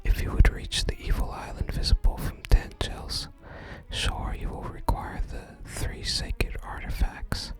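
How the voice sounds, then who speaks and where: A person voicing a solemn ancient hero's message from a fantasy game, in a grave, archaic, breathy ASMR whisper. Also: unhurried, natural voice. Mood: solemn, urging. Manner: grave, archaic, stately reading. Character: solemn ancient legendary hero speaking from a gravestone inscription.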